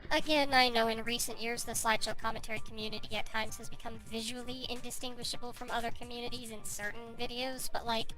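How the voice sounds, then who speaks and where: A woman's voice: a little high, steady tone, quick pace, few pauses, over music, poor audio. A woman giving casual video essay narration as an online commentary creator.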